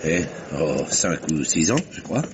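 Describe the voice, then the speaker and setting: An actor playing a grave, principled elderly retired banker in an old French radio mystery, speaking in a measured, sententious way. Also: male voice, a bass voice, big pitch swings, full character voice. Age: older. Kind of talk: mid-century French radio mystery acting. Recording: noisy, muffled old radio drama recording.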